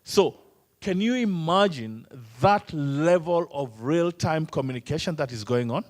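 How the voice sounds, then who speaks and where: A man's voice: on the high side, lively intonation, a little slow. A man from Kenya whose first language is Swahili, running an interactive training session and teasing the room with many questions. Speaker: workshop trainer.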